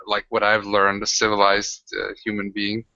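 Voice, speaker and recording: A lowish male voice with a very bright, sharp edge describes his character's actions in a halting, filler-heavy way. The sound is dry and a bit dull, like lo-fi call audio. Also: monotone, dry recording. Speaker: player. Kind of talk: casual tabletop roleplay chat.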